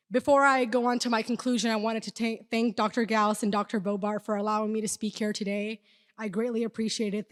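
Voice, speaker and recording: Young woman, student speaker, earnest and emphatic delivery, prepared speech, big reverberant hall. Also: medium pitch. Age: young adult. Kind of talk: prepared speech at a university event. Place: large indoor hall, speaking to an audience. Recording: echoey hall with long reverb.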